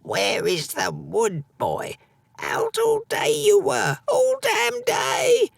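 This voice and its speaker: A man's voice: very high voice, huge pitch swings, slow pace, gravelly, no room sound, pitched above the performer's own voice, heavily acted. A man voicing a harsh, ailing old peasant mother who scolds in repetitive rustic phrases. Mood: furious scolding.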